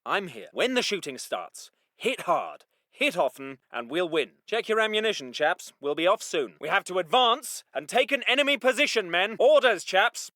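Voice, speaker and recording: British WWII squad medic for a war game: very high, sharp, animated voice, genteel, chipper and clipped. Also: male voice, moderate pace, hardly any pauses, no echo, studio quality, full character voice. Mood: calm encouraging. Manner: clipped, old-fashioned genteel officer-like phrasing. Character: polite, plucky British WWII army medic, brisk and chipper. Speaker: game voice actor. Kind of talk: military video game unit voice lines.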